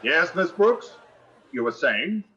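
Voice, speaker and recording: A man's voice: fairly high pitch, big pitch swings, slow pace, bright, forceful tone, dull audio, some chatter behind, exaggerated voice. A man playing a pompous, blustering, tight-fisted principal in a theatrical radio sitcom style. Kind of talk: 1940s radio sitcom acting on stage.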